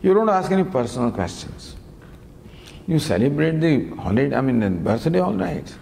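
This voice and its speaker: Lively man teaching in a reverberant hall. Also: medium pitch, moderate pace, gravelly. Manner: lively, gently humorous teaching with rhetorical questions. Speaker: spiritual teacher. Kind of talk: discourse on scripture. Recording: long hall reverb, faint background noise.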